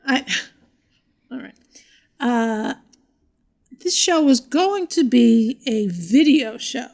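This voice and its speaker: A woman's voice: a little high, animated, slow, a little mellow. A middle-aged woman co-hosting a comedy podcast in casual chat, with a dry, wry, self-deprecating manner. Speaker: podcast co-host.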